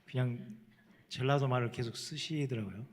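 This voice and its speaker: A middle-aged Korean missionary with a Jeolla dialect, telling stories plainly in a church panel talk with self-deprecating humor. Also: male voice, medium pitch, moderate pace. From South Korea. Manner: plain-spoken, self-deprecating, chuckles often. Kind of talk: panel talk, casual storytelling.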